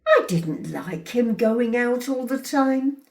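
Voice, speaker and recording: A woman performing a dramatic monologue as a middle-aged, possessive and self-righteous mother, indignant and deliberate with emphatic pauses. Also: on the high side, expressive, darkish tone, distant mic. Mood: defensive, wistful. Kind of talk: dramatic monologue, confiding storytelling.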